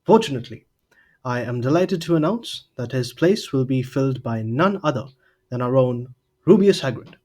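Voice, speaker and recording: Guy acting old wizard headmaster, husky, formal and measured speechmaking, kindly but grave, audio drama. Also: mid-range voice, animated, warm tone, natural voice. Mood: genial, welcoming. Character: aged headmaster wizard, genial yet grave.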